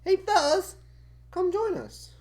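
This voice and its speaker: A woman's voice: very high voice, takes it slowly, a little mellow. A woman playing a bookish, earnest cartoon boy who talks in a courteous, formal way. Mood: friendly.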